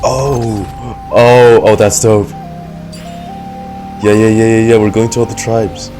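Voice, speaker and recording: A man's voice: on the low side, little pitch movement, slow, mellow. A man streaming his live reaction to a game, casual, slangy and exclamatory. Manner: exclamatory, slangy, hums along. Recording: game music behind the voice.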